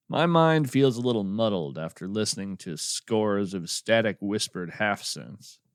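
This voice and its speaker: A man playing a wry, musing naturalist who hosts a radio show of the uncanny, narrating in a measured, contemplative, folksy way. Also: fairly low pitch, no room sound, clean studio sound. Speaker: podcast host and narrator. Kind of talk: scripted narration, reflective storytelling with poetry reading.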